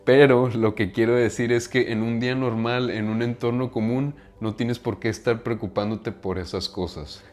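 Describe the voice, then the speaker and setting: A man's voice: medium pitch, fairly even intonation, moderate pace, few pauses, darkish tone. A man from Mexico with a Mexican accent, talking casually to his viewers about self-improvement, often tagging his sentences with a questioning "right?" and using slang. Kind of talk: casual monologue to camera.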